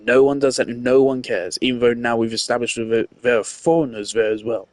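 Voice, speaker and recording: A man's voice: mid-range voice, steady tone, fairly quick, no echo. A man giving casual, rambling gameplay commentary, blunt and swearing freely. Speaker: gaming streamer. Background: faint game music.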